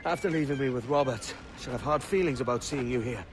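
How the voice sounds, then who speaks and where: A man voicing a hot-blooded but gruffly tender pirate captain in a video game cutscene. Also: mid-range voice, fast talker, slight background noise, natural voice. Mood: weary, glad. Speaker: video game lead character. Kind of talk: dramatic game cutscene acting. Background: music.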